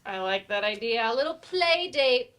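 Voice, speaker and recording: A woman's voice: a little high, expressive, normal speed, a bit bright. An actress in a live play reading, playing a blunt, wisecracking mother in an adult class, casual and sardonic. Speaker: actor in a staged play reading.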